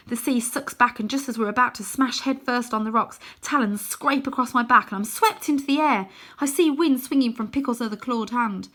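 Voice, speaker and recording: A woman reading a children's novel aloud as expressive narration, with dramatic, animated emphasis. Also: on the high side.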